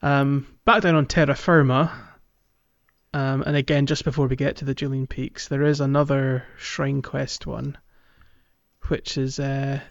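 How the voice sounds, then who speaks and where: A man's voice: medium pitch, level tone, unhurried, creaky, dry recording. A man co-hosting a gaming podcast in casual conversation, recorded on a slightly muffled microphone. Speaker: podcast co-host.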